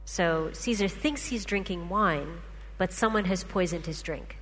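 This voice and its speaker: A woman's voice: on the low side, a little slow. A woman, a native Russian speaker, explaining patiently with examples in a talk to a panel. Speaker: speaker presenting research. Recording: hall reverb, faint hum.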